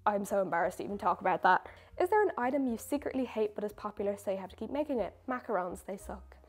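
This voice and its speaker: A woman's voice: mid-range voice, lively intonation, fast talker, husky, faint music behind. A young woman, a baker, answering viewer questions in a chatty, self-mocking way. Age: young adult. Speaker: baker and video creator. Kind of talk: casual question-and-answer chat.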